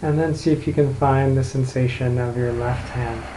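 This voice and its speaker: Mellow, unhurried man guiding meditation, roomy echo. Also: medium pitch, fairly even intonation. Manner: unhurried, gentle instructing with long pauses. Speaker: meditation guide. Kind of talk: guided meditation, unscripted. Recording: roomy echo, light background noise.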